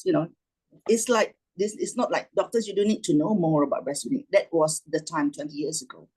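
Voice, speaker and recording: A middle-aged woman with a Malaysian accent and a husky voice, giving an informal online talk, often circling back and rephrasing. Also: low-pitched, darkish tone, low-quality recording. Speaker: doctor and lecturer giving a talk. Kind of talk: informal online presentation, reminiscing. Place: online video meeting with screen share.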